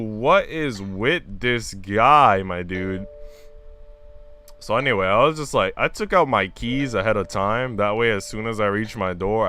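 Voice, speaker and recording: Bright-voiced, animated male streamer. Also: lowish voice, clean tone. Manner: slangy, animated storytelling in asides. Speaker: streamer reading a visual novel aloud to viewers. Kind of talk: casual livestream chat mixed with read-aloud narration.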